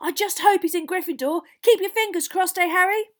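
A woman voicing a highly excited young schoolboy in a storybook, eager and breathless. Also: a little fast.

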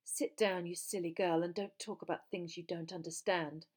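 A woman's voice: medium pitch, moderate pace, darkish tone, close to an everyday voice. A woman reader plays a storybook gossip reporter, a smug and cold-hearted woman. She gushes sweetly and talks down to everyone. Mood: cold, condescending. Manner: gushing, condescending.

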